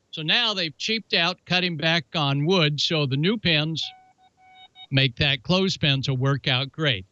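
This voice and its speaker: A man's voice: on the high side, pushed and bright, no echo, lo-fi sound. A man hosting a hobby show, talking through announcements and hands-on instructions in a folksy, chatty, playful way. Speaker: ham radio show host. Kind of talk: casual hands-on how-to demonstration with announcements.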